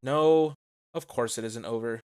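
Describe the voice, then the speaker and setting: A man's voice: medium pitch, a little slow, a little mellow, soft background music. A man narrating a casual, scripted story recap as a video host, with wry, playful asides.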